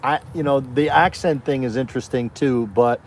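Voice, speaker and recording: A man's voice: mid-range voice, normal speed, a crowd in the background. A man co-hosting a livestream in casual chat, hesitant and often repeating and restarting his words. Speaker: co-host.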